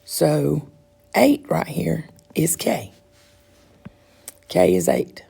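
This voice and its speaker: A woman with a congested, raspy, froggy throat, patiently walking students through a math quiz step by step as their teacher. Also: lowish voice, a little mellow. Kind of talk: recorded math lesson walkthrough.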